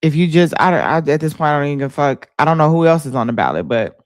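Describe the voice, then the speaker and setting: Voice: medium pitch, expressive, quick pace, no room sound, studio quality. An American livestream host chatting casually about politics in an informal, conversational way.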